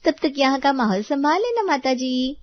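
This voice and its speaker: Woman as cheeky high-pitched young woman. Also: sing-song, normal speed, no room sound, highs cut off. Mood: breezy, defiant. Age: young adult. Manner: breezy, playful. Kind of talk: acted Hindi cartoon story dialogue.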